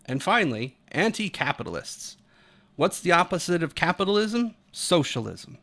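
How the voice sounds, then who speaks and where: A man's voice: high voice, big pitch swings, moderate pace, fairly bright tone. Emphatic American man, argumentative commentary. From Utah, United States. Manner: emphatic, argumentative, casually profane. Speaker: video commentator. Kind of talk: unscripted opinionated commentary monologue.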